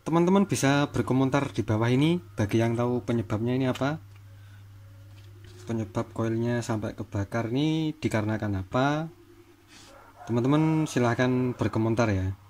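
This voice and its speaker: A man's voice: mid-range voice, a little mellow. A man giving an informal hands-on tutorial, talking viewers through the work in short step-by-step phrases. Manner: talks in short step-by-step phrases while working, addressing viewers. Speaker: repair tutorial host.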